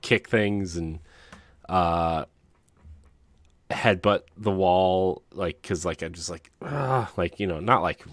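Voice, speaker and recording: A man co-hosting a podcast in casual chat, talking in a rambling, halting, self-deprecating way. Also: low voice, dry recording. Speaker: podcast co-host.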